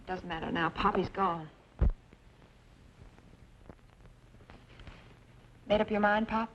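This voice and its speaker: An actress playing an earnest, spirited daughter urging courage in an old-time radio western. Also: female voice, mid-range voice, brisk, close to an everyday voice. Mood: subdued. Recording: muffled vintage radio recording, faint noise.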